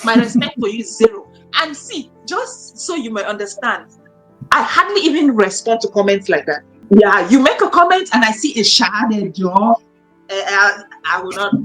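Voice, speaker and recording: A woman's voice: on the high side, animated, normal speed, a bit bright, soft background music, poor audio. A woman, a guest in a conversational interview, who talks emphatically and repeats her points for stress. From Cameroon. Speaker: guest, academic.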